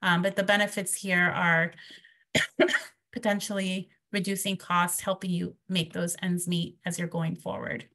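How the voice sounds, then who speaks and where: Woman, bright and gravelly, webinar presenter, steady explanatory delivery, video call audio. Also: fairly low pitch, measured pace. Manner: explanatory, steady, with frequent ums. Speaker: presenter from a financial nonprofit.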